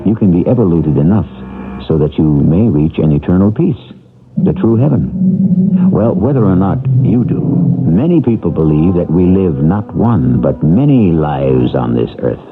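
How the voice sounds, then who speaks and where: A man hosting a radio mystery show, delivering scripted introductions in a measured, theatrical way. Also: low-pitched, lively intonation. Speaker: radio drama host. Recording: tinny old radio broadcast.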